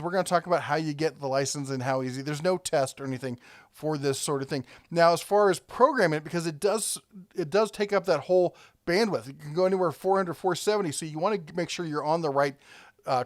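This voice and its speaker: A man's voice: on the high side, brisk, no echo, studio quality. A man hosting a casual product review, talking conversationally and thinking aloud as he rambles along.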